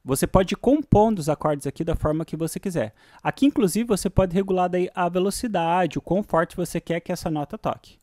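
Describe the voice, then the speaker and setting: A man with a Brazilian accent giving a casual, hands-on music software lesson in an informal, slangy way. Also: high voice, a little fast, hardly any pauses. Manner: informal, uses diminutives and slang. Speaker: music software tutorial host. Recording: electronic synth music behind voice. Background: synthesizer and vocoder demo sounds, clicks.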